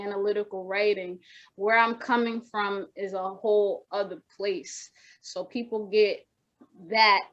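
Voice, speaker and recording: Brief: young woman, soft voice, flat delivery, halting and rambling, lo-fi video call audio. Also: medium pitch. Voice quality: naturally soft voice. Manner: rambling, many restarts and fillers. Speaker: rapper guest. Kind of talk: casual video-call interview. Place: home room with wooden shelves.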